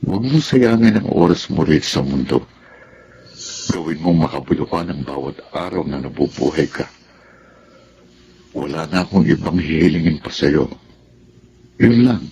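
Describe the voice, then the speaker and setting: Extremely deep, gravelly Filipino man. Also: expressive, moderate pace, pauses often, mellow, slight background noise. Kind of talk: solemn scripted radio drama intro.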